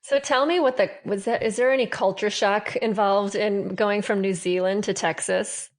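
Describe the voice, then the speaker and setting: Woman podcast host, middle pitch voice, friendly, upbeat interviewer. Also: steady tone, normal speed.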